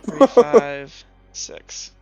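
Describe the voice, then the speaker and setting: A man running a tabletop game as game master, talking in halting bursts with restarts, laughing often. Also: fairly high pitch, sing-song, music in the background.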